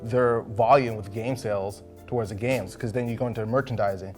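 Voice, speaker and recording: A man's voice: on the low side, fairly even intonation, brisk, soft background music. A man giving his opinions casually as a discussion guest, tentative and thinking aloud. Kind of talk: casual chat.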